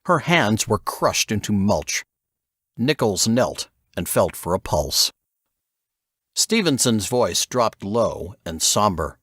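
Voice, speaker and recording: A man narrates a novel in a lowish voice with lively, varied intonation. The delivery is scripted storytelling, captured in a close, dry recording. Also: takes it slowly, breathy edge, no echo. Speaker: audiobook narrator.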